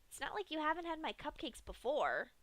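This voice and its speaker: A woman's voice: high voice, very animated, a little fast, a bit bright, dry recording, voice pushed up. A woman voicing a giddy, sadistic female pink pony who talks in a chirpy, singsong way. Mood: pouty. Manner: chirpy and singsong, cheerfully menacing.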